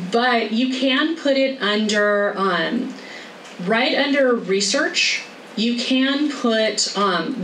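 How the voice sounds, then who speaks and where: A woman giving a career workshop talk and answering questions, in a brisk, chatty way with easy laughter. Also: mid-range voice, fairly bright tone. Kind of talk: informal talk with Q&A. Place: lecture room. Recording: echoey room, distant mic, faint noise.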